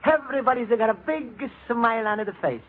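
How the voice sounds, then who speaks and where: An earnest Italian immigrant from a vintage radio comedy. His voice sits very high and cheerful, with an Italian accent and broken English. The sound is narrow and tinny, with faint noise. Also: a little fast. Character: cheerful, naive Italian immigrant man writing home. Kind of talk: 1940s radio comedy acting. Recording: tinny, noisy 1940s radio recording.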